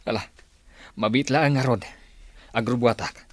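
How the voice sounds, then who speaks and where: An actor playing a doubtful, weary husband in a radio drama. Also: male voice, mid-range voice, steady tone, a little slow, no echo, close to an everyday voice. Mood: reluctant.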